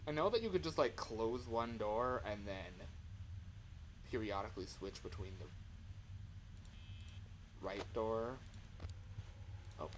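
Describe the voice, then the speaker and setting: A man's voice: on the low side, takes it slowly, pauses often, a little hiss or hum. A man doing casual, slangy gaming commentary as a livestreamer, with exasperated exclamations. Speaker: livestreamer playing a horror game.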